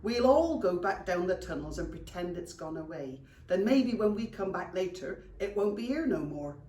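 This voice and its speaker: A British woman voicing cowardly, excitable young male goblins in a children's storybook, exclaiming dramatically in colloquial speech. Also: on the low side, moderate pace, a little hiss or hum, natural voice. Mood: shifty. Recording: roomy echo, distant microphone.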